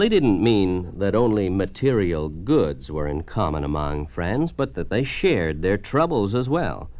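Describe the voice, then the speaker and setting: An American man reading a scripted 1950s radio announcement in a measured, solemn, oratorical manner. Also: low voice. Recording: dull old 1950s radio recording.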